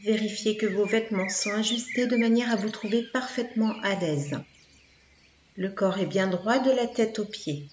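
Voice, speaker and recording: A woman leading a guided yoga relaxation as instructor, speaking in soothing, measured phrases with pauses. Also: mid-range voice, darkish tone, highs cut off. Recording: echoey room, background noise, birdsong.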